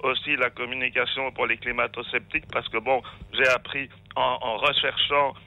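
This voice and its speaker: A man's voice: mid-range voice, little pitch movement, moderate pace, narrow, tinny audio. A man from Belgium calling in to a radio show, rambling and hesitant. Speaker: caller. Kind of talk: phone-in call.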